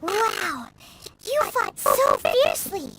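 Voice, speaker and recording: A woman voicing a tiny, cheeky, excitable childlike fairy companion in a video game, squeaky and quick to exclaim. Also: gravelly, very noisy, heavily acted. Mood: admiring. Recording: loud music under the voice.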